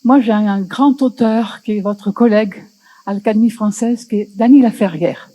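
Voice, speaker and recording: A woman's voice: medium pitch, normal speed, mellow, poor audio. A woman in the audience speaks passionately about language, often searching for her words. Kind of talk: festival panel discussion.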